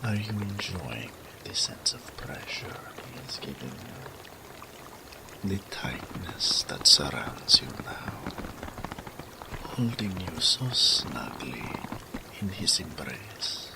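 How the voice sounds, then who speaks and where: A man playing a seductive, possessive and doting male naga in an intimate romantic audio roleplay, speaking slowly in soft murmurs that drop into whispers. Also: low voice, big pitch swings, vocal fry, background noise, full character voice. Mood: seductive, soothing. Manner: slow, third-person speech, soft murmurs dropping to whispers.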